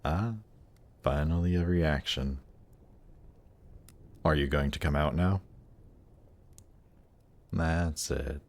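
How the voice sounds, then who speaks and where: A man playing a suave, patient, seductive demon prince in a fantasy roleplay audio drama, speaking in a teasing, coaxing, measured way. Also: rumbling low, fairly even intonation, exaggerated voice. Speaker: voice actor. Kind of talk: slow, intimate roleplay audio drama performance.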